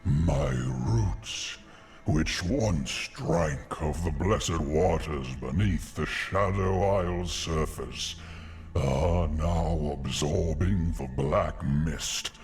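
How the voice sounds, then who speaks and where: A man voicing an ancient giant tree spirit in a video game, grave and embittered, with a deep gravelly voice and a solemn, ominous delivery. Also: very slow, darkish tone, soft background music, exaggerated voice. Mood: grave, resigned. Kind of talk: scripted video game acting.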